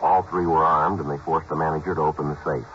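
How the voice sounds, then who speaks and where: An actor playing a terse police detective sergeant who narrates his own case in flat, matter-of-fact sentences, from a 1950s radio drama. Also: extremely low pitch, fast talker, soft background music. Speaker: lead character and narrator. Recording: dull, noisy old radio broadcast.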